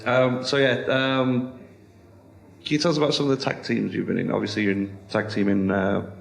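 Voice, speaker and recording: A man's voice: fairly low pitch, flat delivery, moderate pace, creaky, reverberant. A man hosting an interview, asking questions hesitantly with many fillers. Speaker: podcast host.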